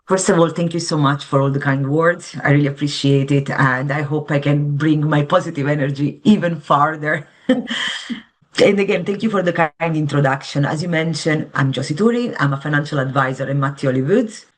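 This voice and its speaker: A woman's voice: deep voice, a little fast, few pauses. A woman from Italy with an Italian accent, a financial advisor explaining things earnestly and conversationally as an interview guest.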